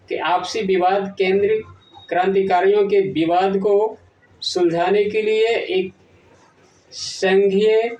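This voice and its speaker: High-pitched man narrating in an echoey room. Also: a little mellow. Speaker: presenter of an educational history channel. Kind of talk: scripted lesson narration. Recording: echoey room, distant from the mic.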